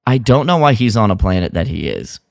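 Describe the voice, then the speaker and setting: A man co-hosting a podcast, chatting casually and retelling a story in an animated way. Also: lowish voice, brisk, gravelly, no echo. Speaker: podcast co-host. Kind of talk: casual review chat about an audio drama.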